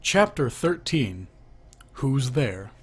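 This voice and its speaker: Slow, husky man reading jokes. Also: mid-range voice, big pitch swings. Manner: reads each speaker's label before their line; lifts his voice for the asking half of knock-knock jokes. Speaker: reader of a joke book.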